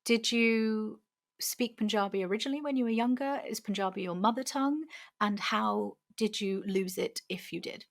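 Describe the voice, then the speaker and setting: A woman's voice: mid-range voice, normal speed, gravelly. Podcast host lady from england, chatty, laughs easily, asks long questions. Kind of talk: podcast interview.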